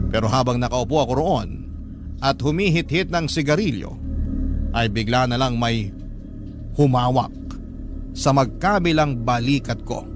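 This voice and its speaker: A man narrating a listener's letter in the first person, earnest and hushed, for a radio drama. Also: medium pitch. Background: background music.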